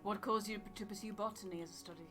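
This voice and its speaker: A woman's voice: mid-range voice, steady tone, fast, music in the background, natural voice. A woman voicing a dry, dutiful expedition captain from a video game, in a composed, wry manner. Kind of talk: voiced reading of video game dialogue.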